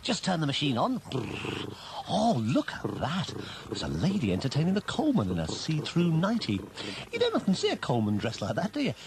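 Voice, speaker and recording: A man's voice: a little high, huge pitch swings, brisk, noisy background. A man playing a wisecracking private eye in a comedy radio serial, narrating with puns and self-mocking jokes. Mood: wry.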